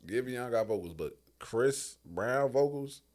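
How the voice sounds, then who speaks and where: A man with a deep voice bantering casually as a podcast co-host in a slangy, teasing way. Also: animated, measured pace, darkish tone.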